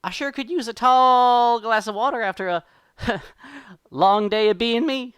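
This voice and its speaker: A man's voice: extremely high pitch, a little slow, a bit bright, clean tone, no echo, pitched above the performer's own voice. A man voicing a wily, exuberant rabbit from a folktale, with a folksy, exclamatory way of talking. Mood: friendly, wheedling.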